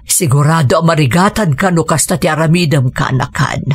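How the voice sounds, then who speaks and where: An actress playing a caring, practical aunt with a husky voice in a radio drama. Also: female voice, low-pitched, brisk. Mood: concerned. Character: caring, practical aunt offering help to her niece. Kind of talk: Ilocano radio drama acting.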